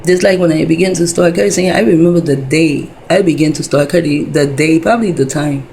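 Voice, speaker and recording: Woman in her fifties, a dark, raspy-edged voice, for a loose, cursing, wandering vlog monologue. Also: low voice, a little fast, slight background noise. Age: 50s. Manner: wandering, heavy profanity, many fillers. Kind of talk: rambling casual monologue.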